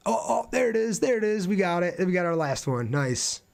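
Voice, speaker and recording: A man's voice: high-pitched, very animated, a little fast, creaky. Casual man streaming a video game. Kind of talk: casual livestream gameplay commentary with chat replies.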